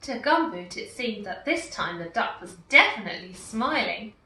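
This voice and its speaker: A woman reading a picture book aloud in a slow, expressive storytelling manner. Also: mid-range voice. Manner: slow, expressive, warmly animated. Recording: echoey room, far from mic.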